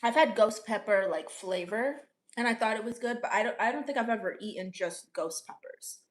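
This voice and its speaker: A woman's voice: on the high side, expressive, moderate pace. Chatty young woman reading stream comments. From Orlando, Florida. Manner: chatty, upbeat, laughs often. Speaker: livestream host. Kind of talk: casual chat reading viewer comments.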